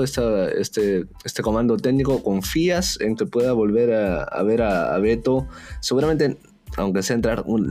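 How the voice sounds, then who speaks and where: A man's voice: on the low side, a little mellow. A man from Peru co-hosting a football talk show, adding points with a thoughtful, hesitant delivery and many fillers. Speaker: sports show co-host. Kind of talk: casual sports talk commentary.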